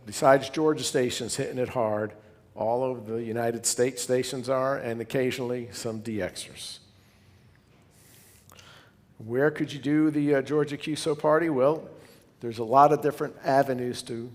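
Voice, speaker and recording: A man speaks in a big reverberant space, his words trailing a long echo. He presents to fellow hobbyists as a club member would, informally and conversationally, drifting into asides. His pitch sits in an ordinary middle male range. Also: darkish tone.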